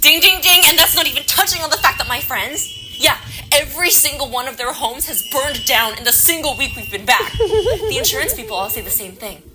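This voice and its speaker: A woman's voice: high-pitched, lively intonation, brisk, music in the background, poor audio. A woman playing a panicked, sarcastic young woman haunted by a curse in a horror audio play. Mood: frantic, sarcastic. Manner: flustered, sarcastic, nervous laughter. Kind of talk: audio play acting, naturalistic horror-comedy dialogue.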